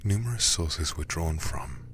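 A man reading a horror game aloud in ASMR style, hushed, breathy and deliberate, close to the microphone. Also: extremely low pitch, animated, a little hiss or hum. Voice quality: breathy, whispery. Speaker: livestreamer playing a horror game.

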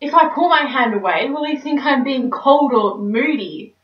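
A woman's voice: sits high, animated, moderate pace, pitched above the performer's own voice. A young woman performing a stage monologue as a neurotic, melodramatic single woman, theatrical and anxious. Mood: anxious fretting. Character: neurotic, dramatic single woman dreading dating. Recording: roomy, distant mic, slightly dull.

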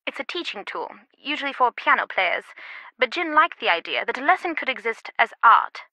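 A woman playing an earnest, slightly guarded and loyal confidante in a video game, speaking in a measured way. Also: on the high side, big pitch swings, pushed and bright, no room sound, heavily acted, poor audio. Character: earnest, secretive female phone confidante, loyal and concerned.

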